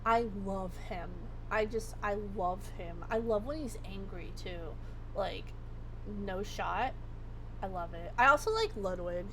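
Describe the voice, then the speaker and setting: A woman livestreamer chatting casually, her voice going hoarse as she rambles and swears freely. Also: medium pitch, unhurried, noisy background. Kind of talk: casual chat.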